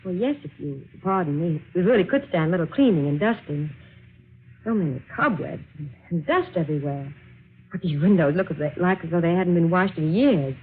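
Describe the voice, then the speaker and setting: A woman's voice: fairly low pitch, expressive, fairly quick, narrow, tinny audio, lightly acted. An actress playing a polite, earnest young woman applying for an office job, in a 1940s radio drama style. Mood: puzzled, insistent. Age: young adult. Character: polite, earnest 22-year-old female office job applicant. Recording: thin, noisy old radio recording.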